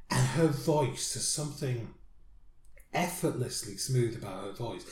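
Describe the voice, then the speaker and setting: A man's voice: medium pitch, darkish tone. Chatty male co-host, mid-range voice, rambling reviewer, roomy echo. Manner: chatty, laughs often, rambling asides. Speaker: podcast co-host. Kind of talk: casual music review chat.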